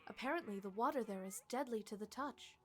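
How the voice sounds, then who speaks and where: A woman's voice: on the high side, brisk, natural voice. A woman voicing a female map seller in a fantasy game, with scripted dialogue. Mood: wistful.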